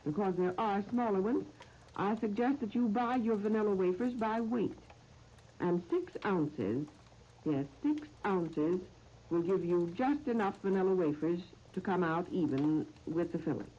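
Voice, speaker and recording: An American woman hosting a 1930s radio cooking show, reading scripted recipes with deliberate, careful enunciation on an old broadcast recording. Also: medium pitch, dark tone, highs cut off, a little hiss or hum.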